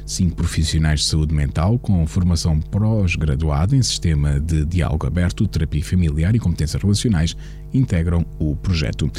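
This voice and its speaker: Deep, mellow male radio newsreader. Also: faint music behind. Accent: European Portuguese. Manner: measured, formal reading. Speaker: radio news presenter and interviewer. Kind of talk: read news bulletin.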